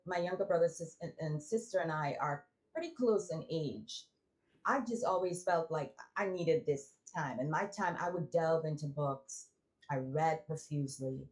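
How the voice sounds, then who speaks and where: A woman's voice: deep voice, unhurried, poor audio. Reflective woman telling stories. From Trinidad. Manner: reflective storytelling with frequent laughs and restarts. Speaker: guest. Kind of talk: casual interview conversation.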